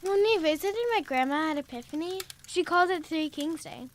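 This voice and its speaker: Woman doing a teen girl, high voice, earnest, faint noise. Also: fairly quick, lightly acted. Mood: curious. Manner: tearful, apologetic. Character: teenage girl Anne, remorseful sister.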